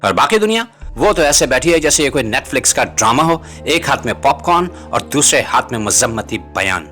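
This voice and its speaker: A man's voice: mid-range voice, sing-song, normal speed, pushed and bright. A man reading scripted political commentary as a host, in a rhetorical, bitingly sarcastic manner. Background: music.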